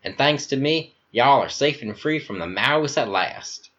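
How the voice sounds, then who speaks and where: A man's voice: medium pitch, lively intonation, a little slow, fairly bright tone, dull audio, voice pushed up. A man voicing a flamboyant, sinister fifty-year-old male governor with an American Southern accent, grandiose and fawning. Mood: gushing.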